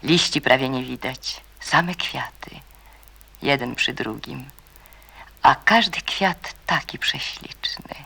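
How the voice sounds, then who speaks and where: A narrator reading a radio drama in a measured, suspenseful way, hushed at times. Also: mid-range voice, animated, gravelly, husky.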